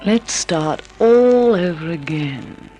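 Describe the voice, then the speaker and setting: An actress playing a seductive, scheming femme fatale, poised and coaxing, in 1950s radio drama style. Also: female voice, on the low side, expressive, takes it slowly, warm tone, low-quality recording. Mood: coy, seductive. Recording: old radio drama recording with music.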